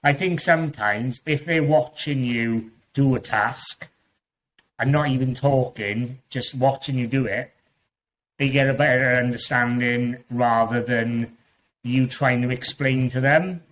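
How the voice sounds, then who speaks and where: A man's voice: medium pitch, fairly even intonation, a little slow, fairly bright tone. A man with a strong Welsh accent, a guest talking casually in a podcast interview, chatty and rambling, with frequent restarts. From Wales. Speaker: guest Amazon seller.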